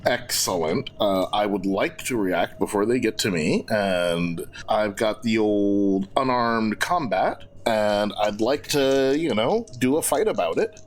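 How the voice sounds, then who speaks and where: A man's voice: fairly low pitch, expressive, measured pace, hardly any pauses. A man playing a tabletop game, talking casually with wry, jokey phrasing over a slightly noisy recording.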